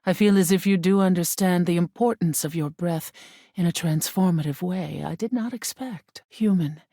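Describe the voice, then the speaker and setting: An actress voicing a video game goddess of air, haughty and stern, with solemn formal phrasing and a breathy voice that often sinks into whisper. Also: female voice, on the low side, normal speed, mellow, dry recording, clean studio sound. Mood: pleased, regal. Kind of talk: scripted video game character acting.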